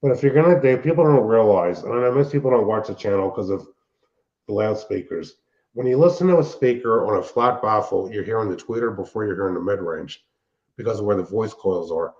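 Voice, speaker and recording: A man's voice: fairly low pitch, expressive, a little fast, darkish tone. An older man chatting casually and technically, explaining things in a rambling way as a show host and hobbyist. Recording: dull, band-limited sound.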